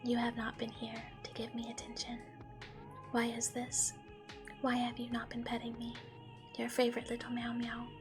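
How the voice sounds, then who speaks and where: A woman voicing her small, spoiled male pet cat, whining in a soft whisper for attention and more petting. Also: fairly high pitch, monotone, moderate pace, lightly acted. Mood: whiny demanding. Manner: whispered, whiny demands. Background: background music.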